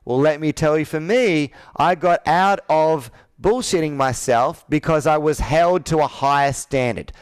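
A man's voice: a little high, unhurried, few pauses, clear, resonant tone, no room sound. A man in his thirties working as a sales coach, talking persuasively and assertively, with probing rhetorical questions. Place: indoor office with a flip chart, on a video call.

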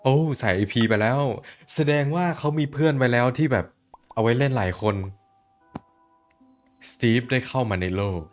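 A young man reacting to a video in a loose, chatty way, full of quick exclamations and slang. His pitch sits in an ordinary middle range, with soft music faintly behind him. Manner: excitable, exclaims often, slangy. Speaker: YouTuber reacting to an animation. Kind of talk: casual reaction commentary.

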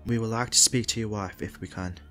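Casting: male actor as eager young detective, a little unsure, low voice, podcast drama. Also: fairly even intonation, brisk, bright, forceful tone. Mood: polite. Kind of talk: audio drama acting. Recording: music behind the voice.

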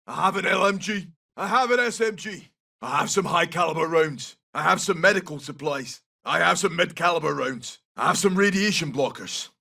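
Scottish soldier for a shooter game, raspy and a bit high for a man, clipped urgent callouts. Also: sharp tone, far from the mic, exaggerated voice. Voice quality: husky, gravelly. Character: tough, loyal Scottish special forces soldier. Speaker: video game character voice. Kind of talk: short military callouts.

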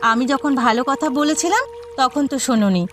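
A woman's voice: high voice, expressive, a little fast, over music. A woman voicing a scolding, aggrieved village housewife in a cartoon, nagging and complaining. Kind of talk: cartoon drama dialogue.

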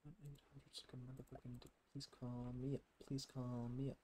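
A man's voice: medium pitch, flat delivery, fairly quick, mellow, a little hiss or hum. A man giving casual, sweary game commentary, muttering to himself as he plays. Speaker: gaming streamer.

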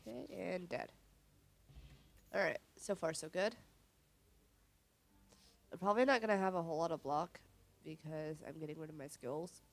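A young woman sniffly with a cold, thinking aloud in low-key, casual commentary as she plays a video game. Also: moderate pace, long pauses. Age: young adult. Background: game music.

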